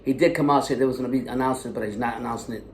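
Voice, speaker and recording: A man's voice: mid-range voice, steady tone, very fast, vocal fry, reverberant, slight background noise. A man co-hosting a boxing talk show, giving blunt, profane fight predictions. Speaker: co-host and fight analyst. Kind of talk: casual sports talk.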